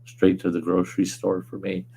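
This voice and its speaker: A man hosting a casual livestream from home, hoarse with a weakened voice, rambling in a laid-back way and chuckling often. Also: deep voice, completely flat delivery, moderate pace, dark tone, slight background noise. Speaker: livestream host. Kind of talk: casual livestream chat reading viewer comments.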